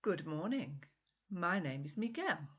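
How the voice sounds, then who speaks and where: Woman voicing a smooth, husky Portuguese charmer. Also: fairly low pitch, sing-song, measured pace, fairly bright tone, highs cut off, full character voice. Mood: charming. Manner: smooth, courteous, flirtatious. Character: charming, confident Portuguese man with polished English.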